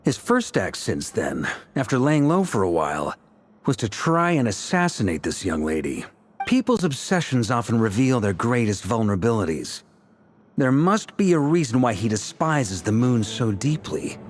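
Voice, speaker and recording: Shrewd knight strategist for a video game, medium pitch, animated intonation. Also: male voice, moderate pace. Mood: explaining, serious. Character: shrewd, chatty male knight leading a strategy meeting.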